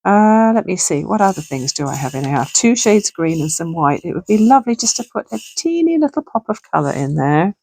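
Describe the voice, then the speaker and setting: Hoarse-voiced woman chatting through a craft. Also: on the low side, big pitch swings, brisk, hardly any pauses, darkish tone, no echo. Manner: chatty, thinking aloud while working. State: gravelly, hoarse voice. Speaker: crafting channel host. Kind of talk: casual crafting tutorial chat. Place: home sewing room.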